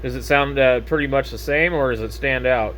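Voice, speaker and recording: American male ham operator, mid-pitched, casual and hesitant, slight radio noise behind him. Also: a little fast. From United States. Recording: steady background radio noise.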